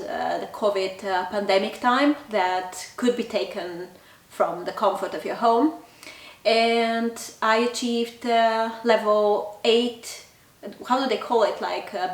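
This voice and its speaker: Female vlog host, thirties, mid-pitched, casual delivery, roomy distant-mic sound. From Bulgaria. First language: Bulgarian. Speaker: language channel host. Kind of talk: casual talk to camera.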